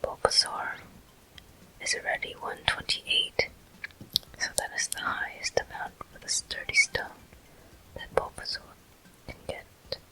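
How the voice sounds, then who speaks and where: A person whispering ASMR gameplay commentary as the host of a walkthrough, in a breathy, unvoiced, gentle and deliberate way. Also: poor audio. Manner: slow, gentle, deliberate delivery.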